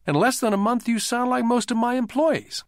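A man voicing a calm, shrewd boss in an audiobook, lecturing like a mentor in a measured, wry way. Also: very high voice, no echo, studio quality, voice pushed up.

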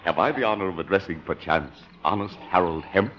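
An actor playing a fawning, florid, smooth-talking shady political consultant in a 1950 radio comedy. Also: male voice, sits low, animated, fairly quick, fairly bright tone. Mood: ingratiating. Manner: florid, flowery phrasing. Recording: noisy dull old radio recording.

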